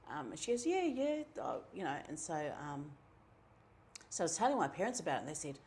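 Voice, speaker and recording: A middle-aged woman from New Zealand with a New Zealand accent, telling her own story in a relaxed, chatty way, with many ums and asides. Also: low-pitched, a little fast, slight background noise. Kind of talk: casual personal storytelling.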